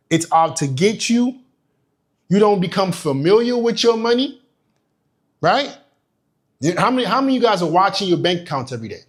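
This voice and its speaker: A young man from Nigeria giving a motivational business talk, emphatic and profane, hammering points home by repeating them. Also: sits high. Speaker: business coach.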